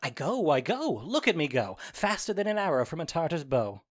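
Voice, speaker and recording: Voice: mid-range voice, fairly quick. An actor playing a mischievous fairy servant in an audio drama, telling things with gleeful, boastful theatricality. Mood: playful, breezy. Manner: animated, boastful storytelling.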